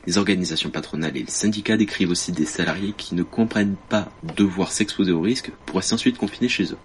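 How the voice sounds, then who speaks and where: A man reading a political editorial on the radio in a measured, critical, deliberate way. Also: sits low, a little hiss or hum.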